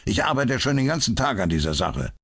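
A man's voice: mid-range voice, big pitch swings, fairly quick, fairly bright tone, husky, voice pushed up, exaggerated voice. A man reading an audiobook, voicing an indignant, bitter police inspector who barks his words. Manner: blustering and bitter. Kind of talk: audiobook character voice.

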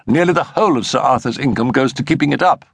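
A man's voice: mid-range voice, fast talker, creaky, slightly rough, no room sound, voice pushed up. A man voicing an anxious, frank and earnest male doctor in an audiobook story. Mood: frank, uneasy.

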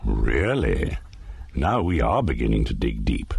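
A man narrating a dramatised documentary in a grave, theatrical and deliberate manner. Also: a bass voice, very animated, creaky, a little mellow, husky.

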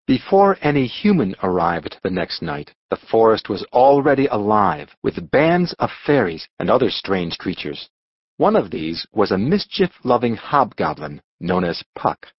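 A man reads scripted storybook narration expressively. His voice is husky and moves with lively intonation. The recording is dry and slightly dull. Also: fairly low pitch, unhurried, dry recording. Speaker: audiobook narrator.

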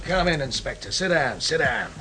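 An actor playing a shady, self-important nightclub boss in a radio crime drama, curt and menacing. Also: male voice, medium pitch, very animated, normal speed, over loud music, close to an everyday voice. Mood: surly. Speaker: nightclub owner character.

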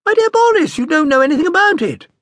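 A bold and languorous mother played by a male narrator holds forth to her little boy in an audiobook. The pitch is lifted high and swings widely. She sounds opinionated and sure of herself. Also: very animated, fast talker, fairly bright tone, no echo, exaggerated voice. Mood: dismissive.

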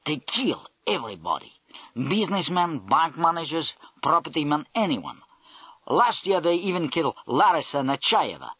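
A man's voice: fairly high pitch, big pitch swings, normal speed, bright, forceful tone, husky, dry recording, dull audio, pitched above the performer's own voice, heavily acted. A man reading an audiobook, voicing a big, blunt Russian army major with broken English and a Russian accent.

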